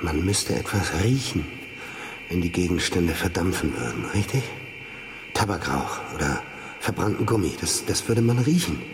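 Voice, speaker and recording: Very deep-voiced, thoughtful scientist. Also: male voice, animated, moderate pace, noisy background. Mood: puzzled, thoughtful. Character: thoughtful, reserved male scientist and wealthy employer. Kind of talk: radio drama acting.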